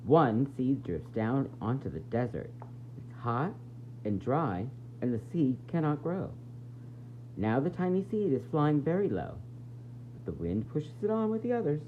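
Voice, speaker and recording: Animated male storybook reader, tinny sound. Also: medium pitch, a little slow. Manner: expressive, explanatory, addressing children directly. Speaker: preschool teacher reading a picture book aloud. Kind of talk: storybook read-aloud for young children. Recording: tinny phone-like audio with faint noise.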